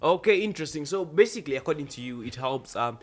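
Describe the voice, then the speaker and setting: A man's voice: on the high side, lively intonation, fast talker. A young man interviewing a guest, asking long, winding questions full of fillers. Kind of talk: casual interview.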